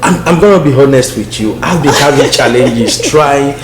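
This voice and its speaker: A man's voice: medium pitch, normal speed, reverberant, noisy background. A man hosting a podcast interview, playful and teasing, laughing often. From Nigeria. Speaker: podcast host. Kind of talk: casual interview chat.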